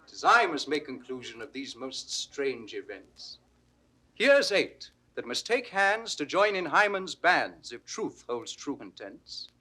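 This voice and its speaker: Commanding marriage deity for classical audio drama: declamatory verse, animated intonation, bright edge. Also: male voice, medium pitch, husky, heavily acted. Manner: declamatory, rhythmic verse delivery. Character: commanding wedding god proclaiming marriages, grand and ceremonious. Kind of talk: classical Shakespearean stage acting, 1960s audio drama.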